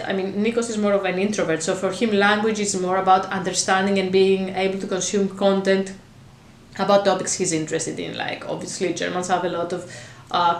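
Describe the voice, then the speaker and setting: Woman, English, casual vlog chat, rambling with fillers, middle pitch, echoey room. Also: slight background noise. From Greece. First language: Greek. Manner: chatty and meandering, with frequent fillers and restarts. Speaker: vlogger. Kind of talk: casual talk to camera. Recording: roomy echo.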